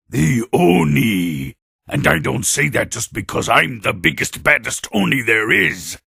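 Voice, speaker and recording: An actor playing a boastful, brawny warrior creature in a video game, blustering and menacing in a gravelly, raspy voice. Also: male voice, on the high side, bright, forceful tone, no echo, studio quality, full character voice. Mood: gruff, suspicious. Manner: swaggering, bragging, menacing. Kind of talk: video game cutscene acting.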